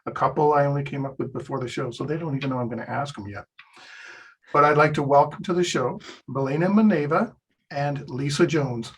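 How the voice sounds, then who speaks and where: A man's voice: a little high, fairly quick, husky, poor audio. A man hosting a podcast, giving friendly, upbeat introductions to his guests. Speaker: podcast host.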